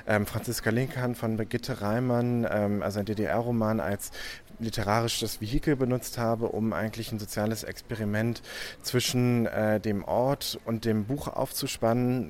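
A man's voice: fairly low pitch, slight background noise. A young man from Hamburg, an artist, answering interview questions hesitantly with many filler sounds. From Hamburg, Germany.

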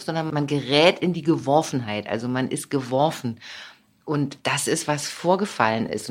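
A woman in her fifties from Germany, giving thoughtful, philosophical interview answers and laughing often. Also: sits low. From Germany, born in Leipzig, raised in Berlin. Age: 50s. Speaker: author, interviewee.

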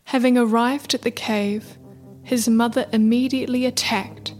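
A woman narrating a scripted folktale as a measured storyteller. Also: fairly high pitch, steady tone. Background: music.

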